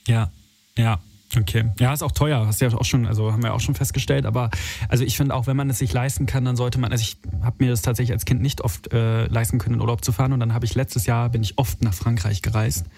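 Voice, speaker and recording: A young man from Berlin with a soft, dark-edged, relaxed tone. He talks in a chatty, informal way, steering a casual interview and using youthful slang. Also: medium pitch, quick pace, creaky, faint music behind. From Berlin, Germany. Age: 20s. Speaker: interviewer leading a conversation.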